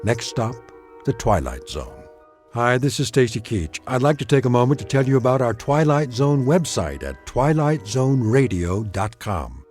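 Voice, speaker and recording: Animated, portentous male narrator. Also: medium pitch, soft background music. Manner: measured, portentous. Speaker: host and narrator. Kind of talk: scripted dramatic narration.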